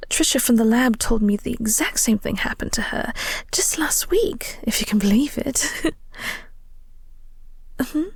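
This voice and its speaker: A woman playing a flirty, teasing vampire office co-worker in an intimate audio roleplay, speaking softly and breathily with a coy, unhurried manner. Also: on the high side, lively intonation, dry recording, exaggerated voice. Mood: playful, warm. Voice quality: soft, breathy, whispery. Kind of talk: intimate audio roleplay, whispered ASMR-like acting.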